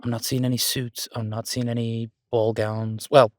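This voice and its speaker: A man playing a shy, devoted chef boyfriend in an intimate audio roleplay, speaking in a halting, self-deprecating, affectionate way. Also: medium pitch, flat delivery, no room sound, clean studio sound, close to an everyday voice. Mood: wry, gossipy. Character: Beau, warm, shy, flustered male chef and devoted boyfriend.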